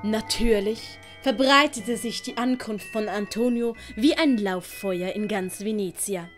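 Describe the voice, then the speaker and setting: Female narrator, mid-pitched, scripted storytelling, music behind. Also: unhurried. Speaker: audiobook narrator.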